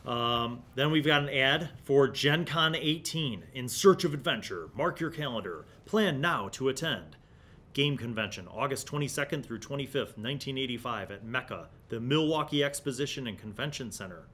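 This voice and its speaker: American man, forties, mid-pitched voice, casual hobby host, nostalgic asides, noticeable low rumble behind. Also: animated, moderate pace, a bit bright. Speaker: host of a retro gaming review series. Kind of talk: casual commentary while leafing through an old magazine. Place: small indoor studio room. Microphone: large desk condenser microphone. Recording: clear low background rumble and noise.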